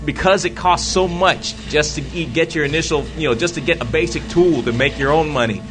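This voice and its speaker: A man's voice: on the high side, fairly quick, music in the background. A man co-hosting a gaming show, chatting casually and rambling through joking anecdotes. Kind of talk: casual chat.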